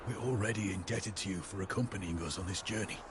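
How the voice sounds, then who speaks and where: A man's voice: sits low, fast talker, lightly acted. A man voicing a grave, resigned soldier in a dramatic video game, speaking in an earnest, formal way. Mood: solemn resignation. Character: grave, resigned male soldier of a doomed squad. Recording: loud background noise and ambience.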